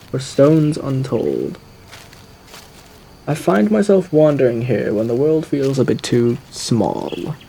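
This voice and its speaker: Suave gentleman radio demon, swooping intonation, mellow dark tone, theatrical, flirtatious, faintly menacing, audio roleplay. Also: medium pitch, gravelly, slight background noise, rough recording. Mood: wistful, poetic. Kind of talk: theatrical ASMR character roleplay monologue.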